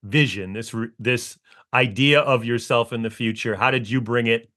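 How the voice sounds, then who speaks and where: A man's voice: mid-range voice, fairly even intonation, normal speed, bright, forceful tone. A man co-hosting an interview, asking earnest, thoughtful questions. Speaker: interview co-host.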